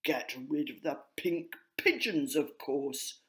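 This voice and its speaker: A woman's voice: deep voice, expressive, slow pace, exaggerated voice. Woman doing a snooty, grumpy male pigeon. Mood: urgent, angry. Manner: cross, snooty, forceful.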